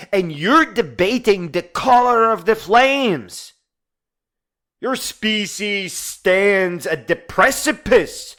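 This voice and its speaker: A man's voice: sits high, a bit bright. A man delivering a dramatized speech in a grandiose, solemn, theatrical manner. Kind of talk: dramatized oratory and scripted monologue. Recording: music behind the voice.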